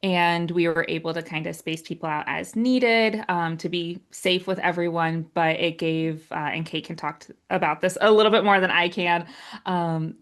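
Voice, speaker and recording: A woman with a slightly low voice talks as a podcast guest. She speaks casually, in the conversational way of a writer discussing her own work. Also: steady tone, moderate pace. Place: remote video call.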